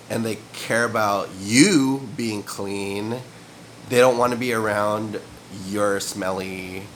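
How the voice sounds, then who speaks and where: A young man talks to his camera at home in a low, stuffy voice, sniffling and clearing his throat now and then. His delivery is loose and meandering, padded with fillers. A faint hum of room ambience sits behind him. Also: fairly bright tone, low-quality recording. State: sniffly with a cold and cough. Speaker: vlogger. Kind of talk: rambling casual monologue to camera. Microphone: camera mic.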